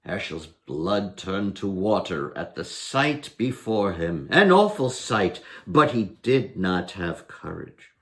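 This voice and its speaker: A man's voice: low-pitched, very animated, slow, hardly any pauses, fairly bright tone. An older man reading a story aloud expressively, as a storyteller at home. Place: indoor room at home, by lit candles.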